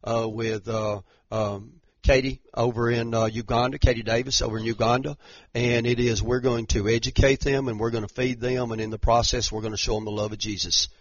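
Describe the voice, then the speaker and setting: A man's voice: medium pitch, little pitch movement, a little fast, few pauses, no room sound, highs cut off. A man, a pastor, giving hesitant church announcements full of fillers.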